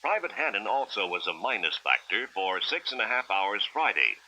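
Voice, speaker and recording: A man with an American accent narrates a 1960s government educational film in a formal, clinical way. His voice is forceful and piercing with a husky grain, and his pitch moves in lively swings over an old film soundtrack. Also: normal speed, low-quality recording.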